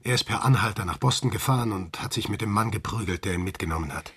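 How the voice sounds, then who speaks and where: A man playing a troubled, gentle middle-aged father in a radio play, with a husky, breathy voice. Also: lowish voice, quick pace. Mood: worried, gentle.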